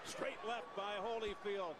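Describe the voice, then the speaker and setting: A man's voice: high voice, fairly even intonation, a little slow. A man calling a boxing match live as play-by-play commentator on a televised broadcast. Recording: very noisy televised fight broadcast.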